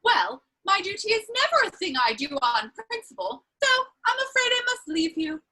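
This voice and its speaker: Very high, bright young society lady played by an actress. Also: big pitch swings, normal speed, heavily acted, poor audio. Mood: arch teasing. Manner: arch, theatrical, playfully scolding. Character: witty, teasing young society lady, coquettish and imperious. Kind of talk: stage comedy of manners, dramatic reading.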